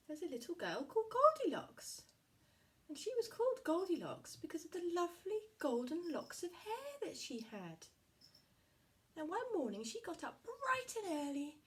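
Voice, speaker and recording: Lady doing storytime for kids, high voice, super sing-songy. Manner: animated, sing-song storytelling. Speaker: children's storyteller. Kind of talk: reading a fairy tale aloud for children.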